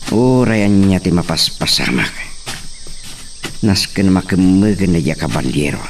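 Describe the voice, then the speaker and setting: A man's voice: deep voice, animated, a little slow. A man with an Ilocano accent playing a determined young hacienda master who gives orders, in a radio drama. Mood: commanding. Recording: distant mic, faint noise with insect sounds.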